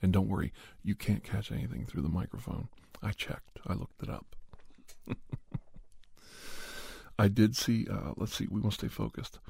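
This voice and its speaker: A man who makes ASMR videos, talking casually to camera from home into a condenser studio mic, his voice worn down by a cold and his talk unhurried and meandering. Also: lowish voice, creaky, husky, no room sound. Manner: unhurried and meandering, soft at times. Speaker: ASMR channel creator and podcaster. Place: at home, indoors. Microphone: large condenser studio mic.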